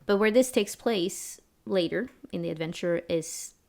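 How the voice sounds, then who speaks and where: A woman's voice: on the low side, unhurried, gravelly, darkish tone. A young woman from Sweden running a tabletop role-playing game as game master, chatting casually with frequent laughs and restarts.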